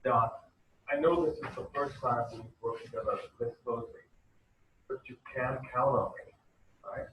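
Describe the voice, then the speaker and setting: A man's voice: mid-range voice, steady tone, normal speed, fairly bright tone, a little hiss or hum. A man in a scripted product demo video with a stiff, acted delivery. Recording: echoey video played from far off.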